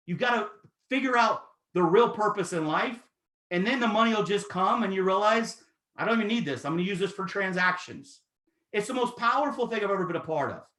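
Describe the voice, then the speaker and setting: Man, 30s, motivational sales presenter; high, bright voice with a roomy echo. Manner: energetic, persuasive, talks straight to the audience. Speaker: network marketing presenter.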